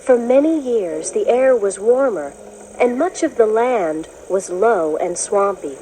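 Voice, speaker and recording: A woman narrating a children's educational book, reading in a clear, measured, explanatory way as if to young listeners. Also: mid-range voice, expressive, background noise. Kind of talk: scripted storybook narration.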